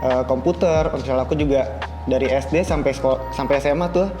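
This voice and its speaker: A man's voice: medium pitch, a little fast. A young man, a student guest chatting casually in an interview. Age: young adult. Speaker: podcast guest, university student. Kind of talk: casual chat. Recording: music playing under the voice. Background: background music.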